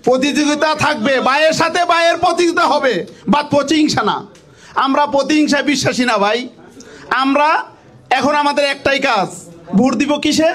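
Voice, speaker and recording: Very high-pitched Bangladeshi political orator, male. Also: brisk. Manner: emphatic, repeats phrases, often shouting. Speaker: political party activist. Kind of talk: rousing political speech.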